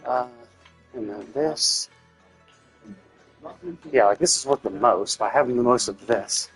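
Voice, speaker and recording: A man's voice: medium pitch, unhurried, soft background music. A man doing casual live game commentary, thinking aloud in a rambling way and swearing casually.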